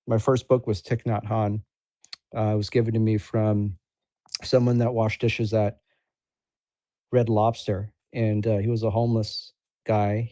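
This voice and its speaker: Male guest, relaxed mellow tone, informal halting chat, close dry sound with muffled lo-fi quality. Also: fairly low pitch, dry recording. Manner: informal, halting, slangy with swearing. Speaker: guest, supporter and content creator. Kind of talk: casual chat.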